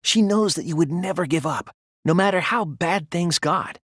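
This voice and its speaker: A man voicing an earnest, anxious, thoughtful teenage student detective in a video game, with a hesitant, soft, slightly husky delivery. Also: fairly high pitch, normal speed, dry recording, studio quality. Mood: concerned, gentle. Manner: hesitant, stammering when flustered, earnest. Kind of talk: English video game character voice acting.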